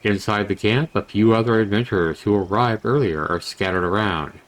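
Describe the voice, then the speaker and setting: A man reading a fantasy game's story aloud as narrator, in a measured, dramatic storytelling manner. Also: lowish voice. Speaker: game reviewer narrating a visual novel playthrough. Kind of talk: storytelling narration read aloud from on-screen text.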